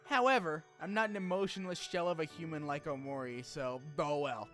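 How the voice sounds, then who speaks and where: A man with a slightly high voice hosts a gaming video essay. He narrates the story from a script and drops in informal, animated asides with the odd swear. Music plays under his voice. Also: a little fast. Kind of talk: scripted game story recap with casual asides.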